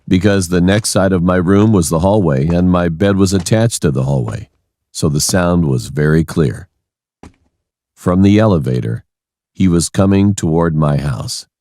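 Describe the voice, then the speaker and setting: A man's voice: low voice, moderate pace, mellow, dry recording. A man reading a scripted first-person horror story as its narrator.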